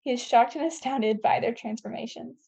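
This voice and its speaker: Mellow higher-voiced woman reading stage directions. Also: brisk, gravelly, breathy edge, rough recording. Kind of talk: scripted narration at a table read.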